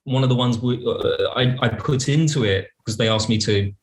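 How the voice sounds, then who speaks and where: A man's voice: medium pitch, normal speed. British man in an echoey room. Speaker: podcast guest. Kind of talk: conversational interview.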